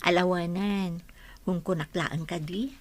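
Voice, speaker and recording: A woman with an Ilocano accent playing a doubting, lovestruck young woman in a radio drama, soft and coy. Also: on the low side, animated, slow. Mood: coy, loving.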